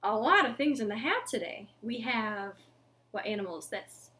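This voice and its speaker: A woman in her thirties hosting an interactive storytime for young children, with expressive, sing-song delivery and exaggerated reactions. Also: on the high side, moderate pace, a little hiss or hum. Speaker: library storytime host. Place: living room at home.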